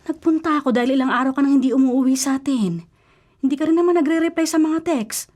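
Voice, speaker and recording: A woman's voice: high-pitched, fast, darkish tone, lightly acted. A woman acting in a radio drama as a worried, nagging but loving middle-aged mother, scolding and fussy, with a Filipino accent. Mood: grumbling.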